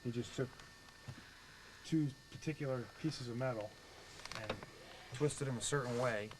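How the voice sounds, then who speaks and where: A man's voice: medium pitch, measured pace. A man casually showing off his radio amplifier and explaining step by step how it works. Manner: relaxed, explains how things work step by step. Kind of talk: casual technical chat. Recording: noisy background.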